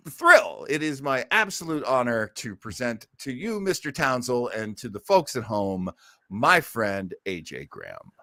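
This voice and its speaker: An American man hosts a casual chat show in a theatrical, effusive way. His pitch swings widely in a middle register, and he sounds close and dry, with a self-mocking streak. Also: big pitch swings, unhurried, bright, forceful tone, no echo. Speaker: host. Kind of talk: casual chat on an old time radio fan show. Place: indoors at home.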